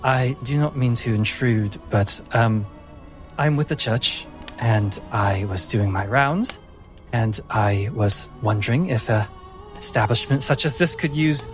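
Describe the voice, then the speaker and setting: A man voicing a soft-spoken, sly French priestess in a tabletop game, courteous and hesitant. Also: mid-range voice, unhurried, faint music behind, lightly acted.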